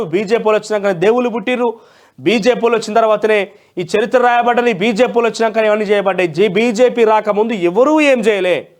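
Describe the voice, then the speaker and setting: A man with a Telangana Telugu accent presenting a newspaper review with opinionated commentary in a conversational, emphatic way. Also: sits high. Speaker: news presenter.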